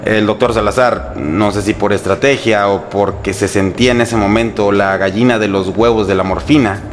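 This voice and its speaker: Low-voiced sarcastic Mexican man narrating over music. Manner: sarcastic, irreverent, colloquial. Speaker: host and narrator. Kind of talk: humorous scripted history narration. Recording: music bed under the voice. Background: background music.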